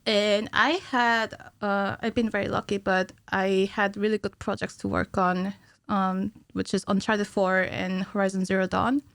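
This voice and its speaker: A young woman talks as an interview guest in a casual, chatty way. Her pitch is medium. The sound is close and dry, from a small studio. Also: level tone, measured pace, creaky, a bit bright, no echo. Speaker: podcast guest, cinematic animator. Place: small curtained podcast studio. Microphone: studio microphone on stand, close.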